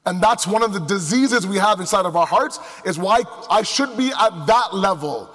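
High-voiced male preacher in a reverberant hall. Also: animated, normal speed, fairly bright tone. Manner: emphatic, with rhetorical questions and repetition. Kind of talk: sermon to a live congregation. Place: large indoor hall before a crowd. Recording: echoey hall with long reverb.

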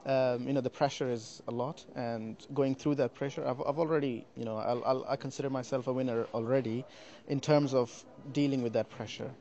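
A man who is a competition entrant, answering interview questions earnestly and hesitantly, with many pauses and repeated words. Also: mid-range voice, fairly even intonation, warm tone, lo-fi sound. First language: not Arabic. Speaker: competition participant. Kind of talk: vox-pop interview answers. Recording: chattering crowd behind.

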